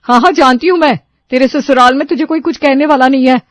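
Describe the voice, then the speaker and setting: Woman doing a naggy middle-aged saas in a cartoon, high voice, sarcastic and chiding, dry sound. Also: quick pace, dry recording, natural voice. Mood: disapproving. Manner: chiding, sarcastic rhetorical questions. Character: nagging, sarcastic middle-aged mother-in-law and aunt. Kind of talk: Hindi cartoon moral story voice acting.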